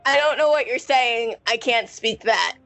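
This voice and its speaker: A woman's voice: fairly high pitch, a little slow, creaky, fairly bright tone. A woman playing a blunt, teasing, laid-back housemate in a tabletop game. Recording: music behind the voice.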